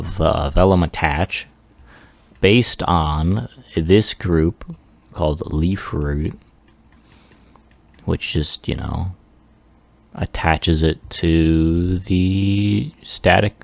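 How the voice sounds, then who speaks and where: A man giving a casual software demo walkthrough, offhand and self-deprecating as he thinks aloud. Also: sits low, gravelly, no room sound. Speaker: 3D artist presenting a project.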